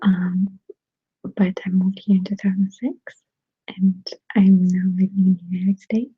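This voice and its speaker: A woman with a Russian accent chats casually in a soft, breathy whisper, gently answering viewers on an ASMR stream with halting pauses, close to a sensitive microphone. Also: medium pitch, level tone, no room sound, dull audio. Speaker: ASMR creator hosting a live stream.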